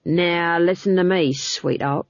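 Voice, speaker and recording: A woman voicing a leering, coarse male dungeon guard who speaks in rough dialect. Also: sits high, fairly even intonation, measured pace, dry recording, highs cut off. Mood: leering, menacing. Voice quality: drawling, menacing, rough dialect.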